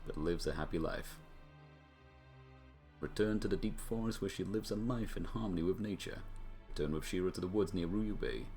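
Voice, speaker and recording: A man's voice: low-pitched, gravelly, a little mellow. A man streaming a game, reading story text aloud with brief casual remarks of his own. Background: music.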